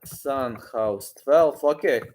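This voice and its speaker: A man in his thirties with a mid-pitched voice hosts an informal livestream. He speaks off the cuff, often repeating short phrases and thinking out loud. His delivery is halting and conversational as he responds to viewers. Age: 30s. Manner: halting, repetitive, thinking aloud. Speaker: livestream host answering viewer comments. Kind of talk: casual unscripted livestream chat.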